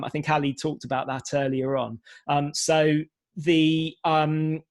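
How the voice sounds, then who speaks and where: A man speaking as a panellist in a conversational way, haltingly, often repeating words as he works out his answer. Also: a little high. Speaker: prison radio broadcaster, panellist.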